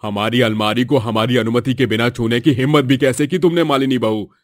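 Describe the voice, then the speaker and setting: A man's voice: medium pitch, fairly even intonation, a little fast, no room sound, studio quality, natural voice. A man playing an older father-in-law in a family story, firm and declamatory. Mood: stern. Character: authoritative older father-in-law of a wealthy household.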